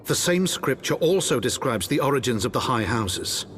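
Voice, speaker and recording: Cautious, formal highborn lord, acted by a man. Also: animated, moderate pace, a bit bright, faint music behind, natural voice. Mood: measured. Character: cautious, proper highborn lord, wary political counsellor. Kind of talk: formal fantasy game dialogue acting.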